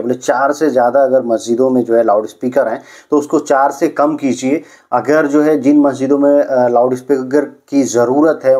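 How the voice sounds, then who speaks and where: Mellow man presenting news. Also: mid-range voice. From Uttar Pradesh, India. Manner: explanatory, repeats and rephrases points, mixes in English words. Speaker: vlogger presenting news updates. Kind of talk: conversational news roundup addressed to viewers.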